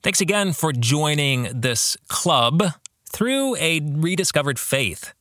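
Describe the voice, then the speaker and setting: A man's voice: fairly high pitch, very animated, slow pace, a bit bright, dry recording, clean studio sound. A man hosting a podcast in a reflective monologue, speaking to listeners with earnest, emphatic phrasing. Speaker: podcast host and author, reading own book.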